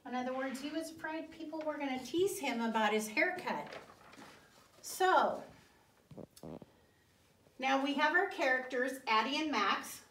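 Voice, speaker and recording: Female elementary teacher, higher-pitched, unhurried and didactic, asking pupils questions in an echoey room. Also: animated, fairly bright tone, slight background noise. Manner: didactic, deliberate, pausing and repeating. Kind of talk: classroom reading lesson with questions to pupils. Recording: roomy echo, distant mic.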